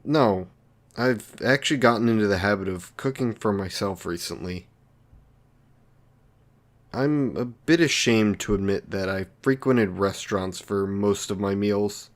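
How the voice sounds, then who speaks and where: A man's voice: fairly low pitch, measured pace, lightly acted. A man playing an awkward, lonely, considerate villain in an intimate roleplay drama, speaking in a hesitant, apologetic, polite way. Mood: eager, awkward.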